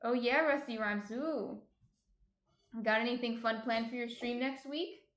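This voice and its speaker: A woman's voice: a little high, steady tone, unhurried, fairly bright tone, reverberant. A woman with a slight New Jersey accent hosts a baking livestream, reading viewer comments aloud and chatting as she cooks. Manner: chatty, thinks aloud while cooking.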